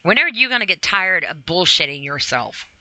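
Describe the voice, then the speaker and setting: A podcast host with a very bright, sharp-edged voice at a medium pitch. The delivery is blunt and informal, moving between reading out a self-help list and commenting on it, with repeated phrases and direct questions to the listener. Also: sing-song, normal speed, slight background noise. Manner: emphatic repetition of phrases.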